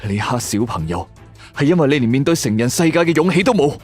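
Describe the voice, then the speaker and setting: A man's voice: medium pitch, big pitch swings, fast, darkish tone, slightly rough, soft background music, pitched above the performer's own voice. Cold, relentless police interrogator, played by a man. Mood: accusing. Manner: cold, taunting.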